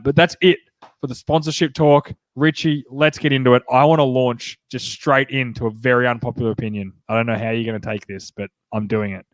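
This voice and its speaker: A man's voice: medium pitch, dry recording. A man in his thirties with an Australian accent, hosting a casual sports podcast, sounding nasal from a cold. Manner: chatty and upbeat, quick asides. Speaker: podcast host.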